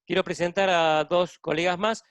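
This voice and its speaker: A man's voice: high voice, steady tone, normal speed, a bit bright, smooth, no room sound. A man with a Buenos Aires Argentine accent, hosting a medical webinar and introducing guests on a video call. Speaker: webinar host, cardiologist. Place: hospital intensive care unit.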